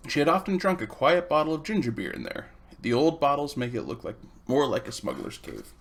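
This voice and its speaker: A man's voice: a little high, sing-song, a little fast, creaky, slight background noise. A man reading a children's storybook aloud as its narrator. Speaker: reader.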